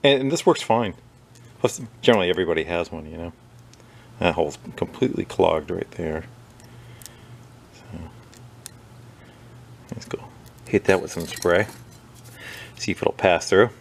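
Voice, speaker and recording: A low-voiced man talks casually to the camera while he works in his workshop. His voice stays easygoing and chatty, and a faint hum of shop noise sits behind him. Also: measured pace, creaky, pauses often. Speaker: small engine repair video host. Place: workshop with a bench and wire wheel.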